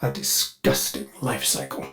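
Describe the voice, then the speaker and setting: A man's voice: a little slow, bright, forceful tone, faint music behind. A man voicing a devoted, anxious ship AI in a video game, speaking in a formal, theatrical and solicitous way. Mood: worried, alert. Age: older. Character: fussy, devoted ship AI, eager, anxious, glitchy servant. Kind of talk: scripted video game voice acting.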